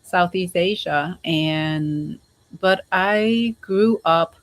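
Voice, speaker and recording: A middle-aged woman from Cambodia who grew up in the United States, speaking haltingly as a guest in a casual online interview. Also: lowish voice, dry recording. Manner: halting, repeats words.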